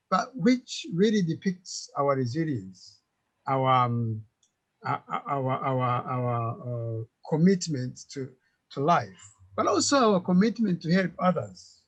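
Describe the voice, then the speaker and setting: Earnest man, higher pitch. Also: expressive, breathy edge, dull audio. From Uganda. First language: Kinyarwanda. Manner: earnest, halting with repeated words. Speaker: guest. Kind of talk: webinar interview answers. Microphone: video call.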